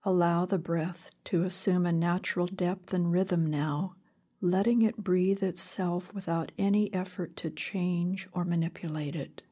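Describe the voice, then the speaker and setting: A woman leading a guided meditation in a calm, gentle, unhurried way with long pauses, her voice soft and breathy. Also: fairly low pitch. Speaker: meditation teacher. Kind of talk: guided body scan meditation.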